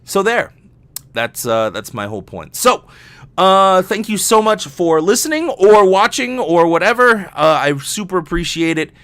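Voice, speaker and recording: A man's voice: sits high, sing-song, unhurried, fairly bright tone. A man hosting a casual solo podcast, talking in a rambling, jokey, self-deprecating way with some swearing. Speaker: podcast host and professional voice actor.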